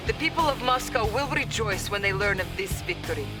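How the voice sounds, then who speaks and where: A woman's voice: mid-range voice, lively intonation, a little fast, over loud music, close to an everyday voice. A woman playing a Soviet intelligence officer giving formal mission briefings.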